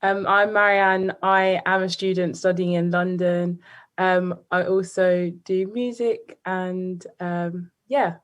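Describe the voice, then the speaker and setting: Slow, low-voiced twenty-year-old woman. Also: little pitch movement. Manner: hesitant, trailing off. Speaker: student panelist. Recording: dull lo-fi video call audio.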